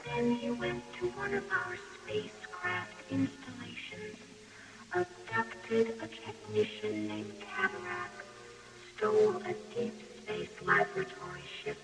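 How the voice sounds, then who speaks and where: An actress playing a calm, solemn alien woman, speaking in measured, formal tones in a science fiction radio drama, with a shimmering effect on her voice. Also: very high voice, exaggerated voice. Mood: grave, recounting. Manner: measured, grave, formal exposition. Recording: echoing radio drama production with loud music.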